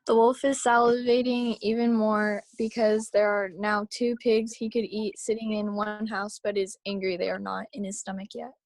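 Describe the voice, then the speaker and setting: A woman's voice: mid-range voice, level tone, moderate pace, few pauses, no room sound. A woman reading scripted story narration aloud at a table read over a video call.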